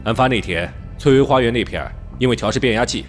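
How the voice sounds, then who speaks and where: A man playing a serious, dogged middle-aged police detective in an audio drama, speaking in a measured, firm way. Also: mid-range voice, faint music behind, lightly acted. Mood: formal, businesslike. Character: dogged veteran police detective leading a murder case. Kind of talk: Chinese audio drama acting.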